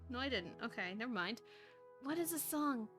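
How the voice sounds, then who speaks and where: Woman, bright high voice, casual livestream reader, chatty, expressive, self-interrupting, faint music behind. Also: normal speed. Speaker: streamer reading fanfiction aloud. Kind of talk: casual livestream chat and reading.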